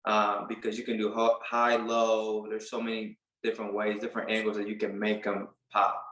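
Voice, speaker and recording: A man in his late twenties from Puerto Rico, a barber telling his story in a casual interview, relaxed and halting with many fillers. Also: on the low side, echoey room, rough recording. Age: late 20s. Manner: relaxed, halting, lots of "like" and restarts. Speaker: barber and business owner, guest.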